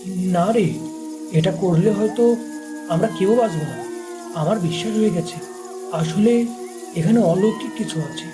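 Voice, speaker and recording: A woman voicing a cautious, frightened young villager in an animated horror story. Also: medium pitch, a little slow, long pauses, natural voice. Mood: fearful, cautious. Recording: music behind the voice.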